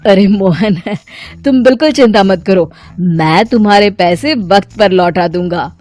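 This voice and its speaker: A woman playing a harried husband and son who placates and reassures everyone in an animated moral story. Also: mid-range voice, a little slow, loud music behind the voice, natural voice. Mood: pleading, reassuring. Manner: conciliatory, reassuring.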